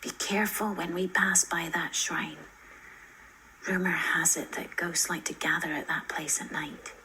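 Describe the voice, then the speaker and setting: A woman's voice: fairly low pitch, fairly even intonation, unhurried, pushed and bright, a little hiss or hum, low-quality recording. A woman voicing a cautious, knowing teenage girl in a storybook reading. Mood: hushed warning.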